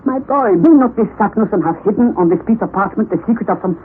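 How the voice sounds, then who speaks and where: An actor playing an eccentric, obsessive older professor in an old-time radio drama, theatrical and domineering, muttering and declaiming. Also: male voice, high voice, lively intonation, quick pace, husky. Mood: excited, proclaiming. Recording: tinny, narrow, noisy vintage radio recording.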